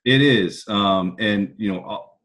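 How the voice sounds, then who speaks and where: An American man speaking as a football coach, answering interview questions in a casual, reflective and halting way. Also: on the low side, fairly bright tone. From California, United States.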